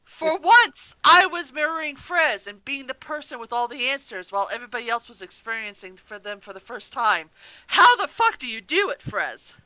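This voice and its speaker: A woman chatting casually as a podcast reviewer, gushing and excitable, swearing freely. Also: high-pitched, moderate pace, dry recording, poor audio.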